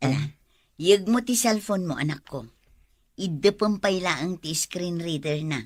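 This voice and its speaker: A woman's voice: fairly low pitch, expressive, unhurried, dry recording, studio quality. An actress playing a loving, proud middle-aged mother in a radio drama. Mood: tender.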